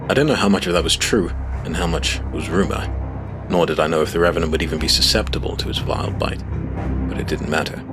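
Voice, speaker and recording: A man playing a grave, determined hero, telling his story as dramatic, measured first-person fantasy narration. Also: very deep voice. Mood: grave, intense. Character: male monster-hunting hero recounting battle, grave, determined. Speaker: narrator and protagonist. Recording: prominent music behind the narration.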